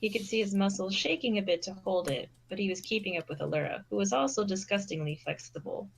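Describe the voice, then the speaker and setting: A woman's voice: medium pitch, quick pace, vocal fry, a little hiss or hum, low-quality recording. A woman reading a story aloud as narrator, now and then stumbling and repeating a phrase. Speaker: fan fiction reader and narrator.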